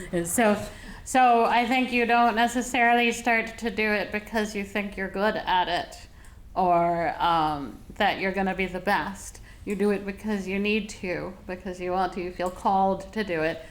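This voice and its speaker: A woman speaking as a translator on a panel, relaxed, reflective and conversational. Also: mid-range voice, slight background noise. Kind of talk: panel discussion.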